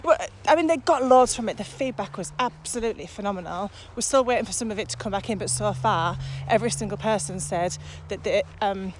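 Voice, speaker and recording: A woman's voice: mid-range voice, brisk, few pauses. A woman co-hosting a podcast, talking casually and enthusiastically as she walks outdoors. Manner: enthusiastic, animated about the subject. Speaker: podcast co-host and virtual training coach. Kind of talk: casual conversation recorded while walking. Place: outdoors, walking on a muddy path. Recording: outdoor background noise, faint traffic.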